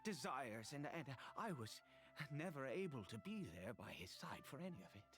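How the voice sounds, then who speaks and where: An actor playing a grief-stricken, centuries-old cursed nobleman in a video game, with halting, formal speech and nervous stammers. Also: mid-range voice, big pitch swings, fairly bright tone, soft background music. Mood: sorrowful reminiscence. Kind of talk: dramatic video game voice acting.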